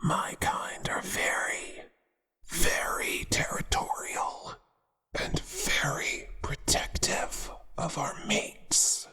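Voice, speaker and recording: A performer voicing a possessive male spider monster, a devoted but menacing lover, in a hushed ASMR whisper with a crooning, deliberate delivery. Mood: mocking, protective. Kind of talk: whispered ASMR roleplay acting. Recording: long reverberant echo.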